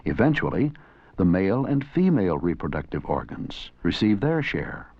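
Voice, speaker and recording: Low-voiced man narrating, lively intonation. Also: moderate pace, breathy edge, no echo. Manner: earnest, didactic delivery. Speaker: documentary narrator and presenter. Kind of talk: scripted educational film narration. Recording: dull 1980s educational film soundtrack.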